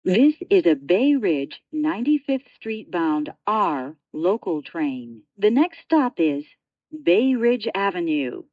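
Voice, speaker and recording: A woman's voice: on the low side, very animated, takes it slowly, dry recording. A woman reading scripted automated train announcements in clipped, segmented phrases, as a subway announcer. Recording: dull lo-fi transit announcement audio.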